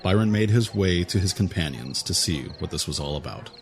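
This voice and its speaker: A man narrating an audio drama in a measured, even storytelling manner. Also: low-pitched. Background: music score.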